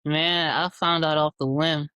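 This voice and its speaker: A man in his twenties, from Jamaica and New York, answering in a relaxed, easygoing way as an interview guest. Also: a little high, unhurried, smooth, no room sound. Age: 20s. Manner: relaxed, easygoing, with casual fillers. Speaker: guest scientist.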